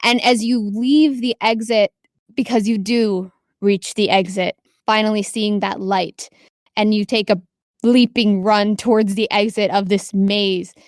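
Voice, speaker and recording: Female game master, medium pitch, narrating tabletop fantasy in a very dry acoustic. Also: steady tone, unhurried, dry recording.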